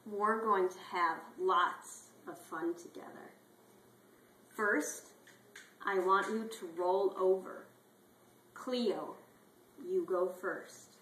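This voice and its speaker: Lady reading as a spaniel dog teacher in a kids story, instructing, bright voice, slow pace, echoey. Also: mid-range voice, a little hiss or hum. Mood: upbeat, instructing. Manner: instructing, drawn-out praise. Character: female spaniel obedience teacher, upbeat, openly favoring pets.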